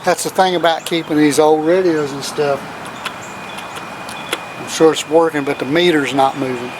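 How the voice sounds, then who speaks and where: A man's voice: a little high, fairly even intonation, moderate pace. A man giving a casual, hands-on demonstration as a video host, talking in a folksy, informal way. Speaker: host of a do-it-yourself radio video. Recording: clear outdoor background noise with vehicles. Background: outdoor ambience, vehicle noise.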